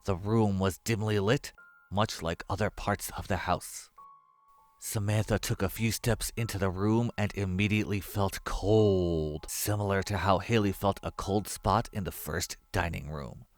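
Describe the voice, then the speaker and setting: A man's voice: sits low, fairly even intonation, measured pace. A man reading scripted horror story narration, with music behind his voice.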